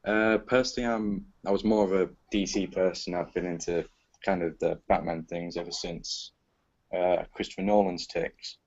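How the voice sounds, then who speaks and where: A man from an audio drama cast, answering in a casual panel chat in a relaxed, hesitant way. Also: sits low, moderate pace. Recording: muffled lo-fi recording.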